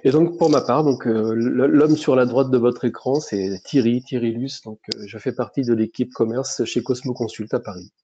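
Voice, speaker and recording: Mellow-voiced man hosting a webinar. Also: medium pitch, moderate pace, hardly any pauses, husky. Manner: hesitant, many fillers and restarts. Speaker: webinar host. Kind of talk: webinar presentation. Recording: dull lo-fi webinar audio.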